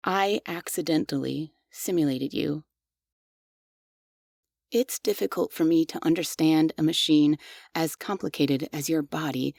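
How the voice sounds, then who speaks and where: A woman voicing a devoted, obsessive female maid robot in a scripted ASMR roleplay. She speaks softly and in measured phrases, close to the mic. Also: sits low, vocal fry, dry recording, heavily acted.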